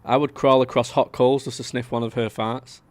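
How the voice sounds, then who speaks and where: A man's voice: medium pitch, flat delivery, moderate pace, no echo. A man, chatting casually to viewers on a live stream and reading out their comments. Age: 30s. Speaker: livestream host.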